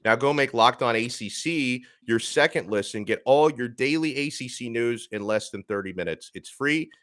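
Man, 30s, podcast host, bright tense voice, dry recording, energetic casual talk. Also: medium pitch, normal speed, no echo. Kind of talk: casual sports podcast chat.

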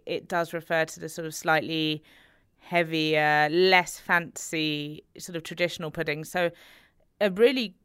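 Low-voiced, smooth woman musing aloud. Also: fairly bright tone, dry recording, studio quality. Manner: thoughtful, hedging with many "sort of"s. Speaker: food writer, interview guest. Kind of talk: interview answers.

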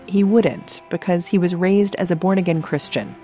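A woman's voice: fairly low pitch, moderate pace, mellow, faint music behind. An American woman hosting a podcast, narrating from a script and interviewing, recorded on a close studio microphone. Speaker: podcast host.

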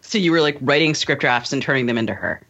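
A woman's voice: a bass voice, lively intonation, fast talker, a bit bright, highs cut off. A woman hosting a podcast, asking a guest casual, conversational interview questions.

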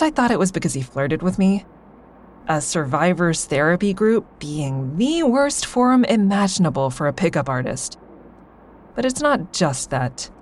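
A woman's voice: lowish voice, a little mellow, a little hiss or hum. A woman playing a sardonic, anxious insomniac, confiding dryly as she narrates a first-person audio drama. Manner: wry, confiding, dry.

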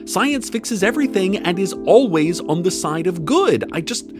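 An earnest scientist, as a man puts him on, talks in a much higher, strained voice. He sounds worked up and pleading, frustrated with himself. Guitar music runs beneath this radio drama. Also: very animated, moderate pace. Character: earnest male scientist, anxious and hard on himself.

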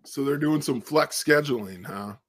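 A man with a deep voice, joining a casual sports podcast over a video call, easygoing and relaxed. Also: normal speed. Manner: laid-back, easygoing, says "man" and "dude". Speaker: guest co-host.

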